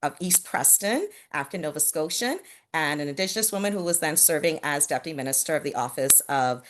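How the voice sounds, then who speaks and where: A middle-aged woman from the Bahamas speaking on a video call panel, earnest and measured, with frequent fillers and restarts. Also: low-pitched, expressive, slight background noise. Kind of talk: reflective talk on a virtual panel.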